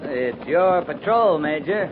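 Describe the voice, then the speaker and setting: An actor playing a steady, reserved frontier cavalry captain in a 1950s radio western, speaking in a measured way. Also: male voice, on the high side, noisy background. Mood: steady, weary. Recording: tinny 1950s radio recording, busy background.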